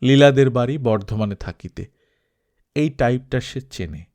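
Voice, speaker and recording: A man's voice: medium pitch, measured pace, a little mellow. A man reading a literary story aloud as a scripted audiobook narration.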